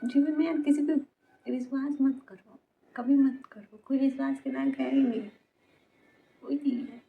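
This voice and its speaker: A woman in her twenties speaks confessionally to camera in a fairly high voice. Her delivery is halting, circling back over words and leaving sentences unfinished. The sound is thin and phone-like, with some ambient noise behind her. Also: fairly even intonation, gravelly. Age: 20s. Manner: halting, repetitive, trailing off mid-sentence. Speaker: vlogger. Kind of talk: personal confessional talk to camera. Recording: tinny phone-like sound, noisy background.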